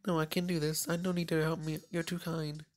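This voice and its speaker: A man voicing a shy, clumsy, apologetic anime schoolgirl in a timid, soft-spoken way for a visual novel. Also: a little high, level tone, a little fast, pitched above the performer's own voice, close to an everyday voice. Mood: flustered, apologetic.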